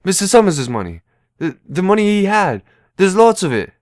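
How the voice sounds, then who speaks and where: Man playing timid, simple young runaway, swooping pitch. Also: sing-song, moderate pace. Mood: frantic pleading.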